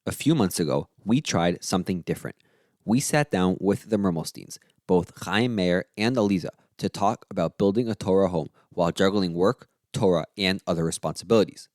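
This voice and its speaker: A man's voice: low-pitched, normal speed, a little mellow, dry recording, clean studio sound. A man hosting a podcast, reading a polished, upbeat scripted intro before interviewing his guests. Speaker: podcast host.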